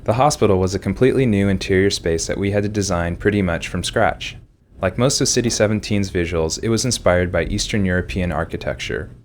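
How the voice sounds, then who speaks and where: Deep-voiced man over faint ambience. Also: slight background noise. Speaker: game developer. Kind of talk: scripted developer commentary.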